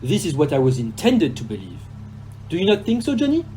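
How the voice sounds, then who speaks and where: An actor playing a courteous, shrewd foreign detective in an audio play, speaking formally with French exclamations. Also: male voice, fairly high pitch, wildly swooping pitch, a little fast, warm tone, a little hiss or hum. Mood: confident, shrewd.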